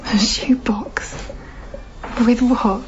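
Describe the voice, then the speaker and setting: An actress playing a loving, anxious, gently sceptical young mother in a radio drama, with an understated, intimate delivery. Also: fairly high pitch, steady tone, extremely slow, whispered. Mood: wistful. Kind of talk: naturalistic radio drama acting. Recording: slightly dull, faintly noisy recording.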